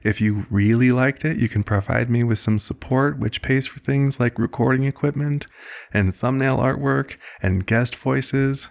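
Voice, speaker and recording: A man's voice: medium pitch, unhurried, gravelly. A man who makes ASMR audio, talking casually to listeners in a sign-off. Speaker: ASMR channel creator.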